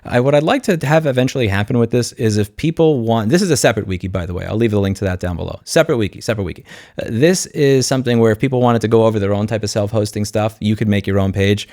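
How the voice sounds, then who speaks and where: Low-voiced, blunt man vlogging. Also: quick pace, a little mellow, dry recording, clean studio sound. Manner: blunt, sardonic, informal with swearing. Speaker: YouTube host and consumer rights advocate. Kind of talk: unscripted vlog monologue, screen walkthrough.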